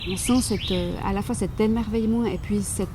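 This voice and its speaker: Woman, writer, mid pitch, reflective, halting restarts, noisy background with birds. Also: fairly even intonation. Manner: thoughtful, halting, many restarts and fillers. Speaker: writer, interview guest. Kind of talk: reflective interview talk.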